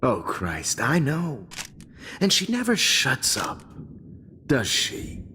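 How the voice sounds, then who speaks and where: A man's voice: mid-range voice, huge pitch swings, slow, bright, forceful tone, heavily acted. A man playing a smug, creepy photographer in a video game, seductive and sneering. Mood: mocking. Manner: sneering, mocking. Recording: long reverb, faint noise.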